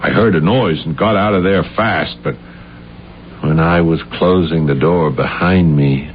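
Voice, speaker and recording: An actor playing an unstable, deluded man in an old-time radio drama, halting, stammering and repeating his words. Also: low-pitched. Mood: eager, rambling. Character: unstable, deluded playwright who insists he killed his uncle. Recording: muffled, hissy 1970s radio recording.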